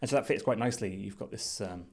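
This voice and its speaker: Low-voiced man giving thoughtful commentary. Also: fast talker, darkish tone. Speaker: design reviewer. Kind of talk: unscripted screen-share commentary.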